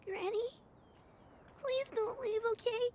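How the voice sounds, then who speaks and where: A woman's voice: extremely high pitch, takes it slowly, a crowd in the background, heavily acted. A woman voicing a small, earnest, clinging young child in a video game. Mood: tearful, pleading.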